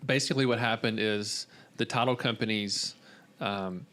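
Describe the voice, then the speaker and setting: A man in a casual podcast conversation, telling things in a halting, pausing way. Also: lowish voice, fairly even intonation, fairly bright tone.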